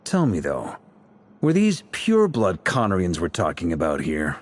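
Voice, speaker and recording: A man playing a sly, composed commander in a video game, wry and measured as he directs his team. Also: sits low, huge pitch swings, heavily acted. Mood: musing.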